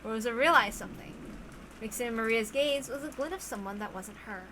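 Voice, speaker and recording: Bright-voiced woman narrating dramatically. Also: a little high, a little fast, a little hiss or hum. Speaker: reader of a visual novel.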